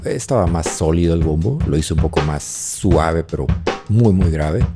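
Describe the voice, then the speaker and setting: A man presenting a music production tutorial, explaining as he demonstrates and thinking aloud in short phrases with pauses. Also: sits low, lively intonation. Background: drum loops playing.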